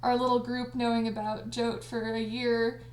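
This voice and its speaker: Giggly, higher-voiced woman in an echoey room. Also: moderate pace. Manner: giggly, excitable, frequently breaking into laughter. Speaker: audio drama creator and cast party host. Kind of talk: casual livestream chat. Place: indoors on a group livestream call.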